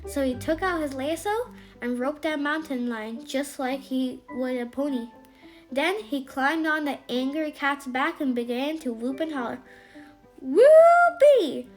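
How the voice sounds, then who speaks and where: A woman narrating a scripted children's bedtime story in an expressive, emphatic way. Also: high voice.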